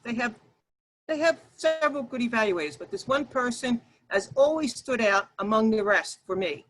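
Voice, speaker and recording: A woman with a loud, projecting voice leads an online workshop, addressing her listeners directly. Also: on the high side, slight background noise, rough recording. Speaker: workshop leader and Toastmasters speaker. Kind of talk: workshop presentation to an online group. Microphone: video call.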